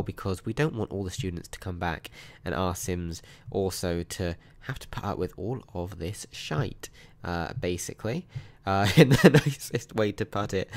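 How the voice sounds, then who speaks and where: Low, croaky man. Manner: chatty and upbeat, frequent ums. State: hoarse from a sore throat. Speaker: mobile game channel host. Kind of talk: casual gameplay walkthrough commentary.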